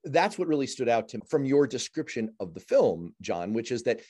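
A man hosting a radio talk show, introducing his guest in a measured, courteous way. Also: medium pitch, very animated, mellow, slightly rough. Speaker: radio and podcast host. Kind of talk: radio interview.

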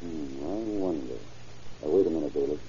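An actor playing a shrewd, take-charge American county sheriff in an old-time radio drama. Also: male voice, a bass voice, a little fast, mellow. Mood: suspicious. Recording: muffled, noisy old radio recording.

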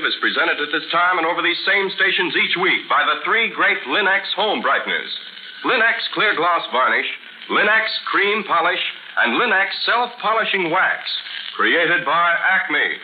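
An American man working as a 1940s radio announcer, with a polished, emphatic sales delivery. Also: a little high. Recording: muffled, slightly noisy 1940s radio broadcast.

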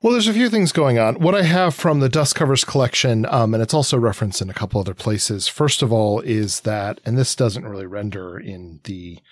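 A man co-hosting a podcast in a conversational, analytical way, halting and circling as he thinks aloud. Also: mid-range voice, dry recording, clean studio sound. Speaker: podcast co-host. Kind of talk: conversational comics analysis, sometimes reading quotes.

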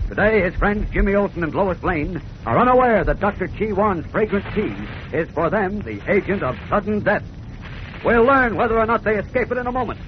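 A man's voice: high-pitched, animated, a little fast. A man announcing and narrating a 1940s radio serial in a grand, emphatic, dramatic style. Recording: muffled old radio recording, faint noise.

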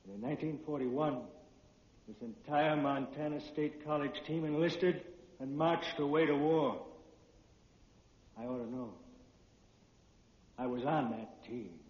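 Actor as an echoey, solemn fallen soldier-athlete. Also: male voice, fairly high pitch, measured pace, pauses often, lightly acted. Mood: solemn, insistent. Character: dead soldier and college football player, solemn and insistent. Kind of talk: 1940s radio drama acting. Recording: echoey, muffled vintage radio recording.

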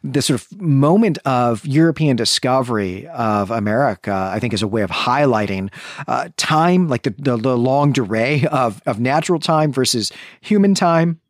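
A man's voice: mid-range voice, expressive, normal speed, dry recording, studio quality. A man co-hosting a podcast, talking through a literary recap in a bookish, discursive, lightly amused conversational way. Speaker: podcast co-host.

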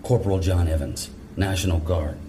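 An actor playing a gruff, commanding, territorial National Guard corporal in a zombie audio drama. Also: male voice, low-pitched, moderate pace, noisy background, natural voice. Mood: stern. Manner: commanding, profane, stern.

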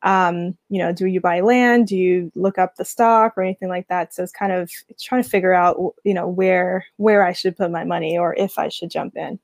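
A young woman from Guyana, a guest talking casually in an interview, relaxed, with many fillers and restarts. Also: on the low side, normal speed, few pauses, no echo. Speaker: guest, finance community founder.

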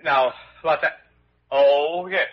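An actor playing a fussy, easily offended hospital doctor who stammers and flusters in old radio drama style. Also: male voice, on the high side, takes it slowly. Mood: fussy, stammering. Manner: fluttery, stammering, prissy. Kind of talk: 1940s-50s radio drama acting. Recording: muffled old radio recording, faint noise.

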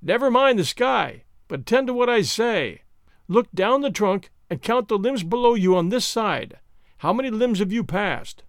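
An imperious gentleman on the edge of madness, performed by a man reading a storybook. He gives formal, exclamatory orders in a high voice that leaps up and down. The sound is close and dry. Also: animated, moderate pace, dry recording. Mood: commanding, excited. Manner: commanding, exclamatory, formal wording. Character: excitable, imperious male treasure-seeking master, bordering on madness. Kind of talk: audiobook character voice.

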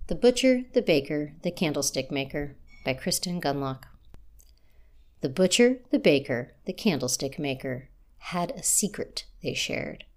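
A woman's voice: low voice, very animated, a little slow. A woman reading her own rhyming poem aloud in a lilting, sing-song way. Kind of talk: poetry reading.

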